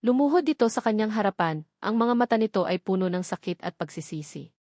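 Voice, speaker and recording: A woman's voice: mid-range voice, lively intonation, fast, darkish tone, dry recording, clean studio sound. A woman reading scripted dramatic narration of a romantic story.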